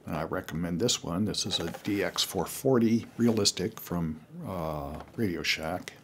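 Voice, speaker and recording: An older man giving casual, unscripted how-to advice to beginners as a hobbyist, in a halting, rambling way with frequent pauses. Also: sits low, a little hiss or hum.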